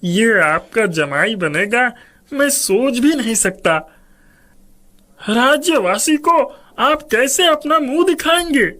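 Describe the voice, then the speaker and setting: A man's voice: very high-pitched, expressive, takes it slowly, sharp tone, exaggerated voice. A man voicing a scheming, snobbish royal minister in a cartoon story, deferential and theatrical. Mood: disapproving. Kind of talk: Hindi cartoon story character dubbing.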